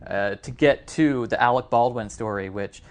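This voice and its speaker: A man with an average-pitched voice talks through film opinions on a podcast. His delivery is casual and conversational. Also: normal speed. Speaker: podcast co-host reviewing films.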